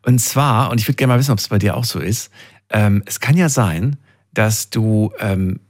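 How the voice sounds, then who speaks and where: A man's voice: lowish voice, normal speed. Male radio host in his late thirties. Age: late 30s. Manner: engaged, interjecting, probing with questions. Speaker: radio call-in show host. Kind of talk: conversational live radio talk.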